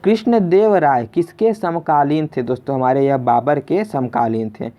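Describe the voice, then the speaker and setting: A man's voice: on the high side, normal speed. A man hosting an exam lesson in a question-and-answer style, addressing listeners as friends and repeating his questions. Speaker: quiz teacher and video host. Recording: dull lo-fi voice recording.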